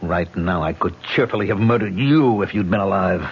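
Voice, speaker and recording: A man's voice: low voice, brisk. An actor playing a haunted, bitter male actor who talks in a sardonic, defensive way. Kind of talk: 1970s radio drama acting. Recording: dull old radio broadcast with faint hiss.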